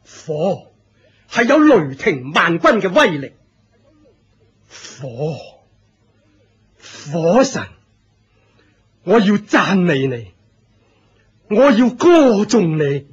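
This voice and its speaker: A proud fire-cult master with a very husky, fairly high voice and a Hong Kong Cantonese accent. He declaims grandly and pompously, swooping with authority, as in a radio play. The recording is muffled. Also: big pitch swings, extremely slow, long pauses, exaggerated voice. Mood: fervent, exalted. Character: proud older male fire-cult leader, grand and fervent. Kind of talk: Cantonese radio drama acting.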